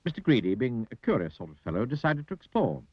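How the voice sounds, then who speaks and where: A man narrating an animated children's story, theatrical, with drawn-out emphasis on key words. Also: mid-range voice, slightly rough. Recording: dull lo-fi audio with music. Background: music.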